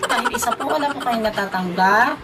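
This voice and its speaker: A woman's voice: fairly high pitch, lively intonation, brisk, heavy background noise. A woman with a Filipino accent chatting casually as a prank call vlogger. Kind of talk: casual vlog chat and phone calls. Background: loud music.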